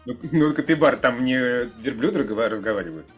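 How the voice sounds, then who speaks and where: A man's voice: medium pitch, expressive, fast, husky. A man in a casual podcast discussion, hesitantly searching for his words. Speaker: podcast participant. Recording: music in the background. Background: music.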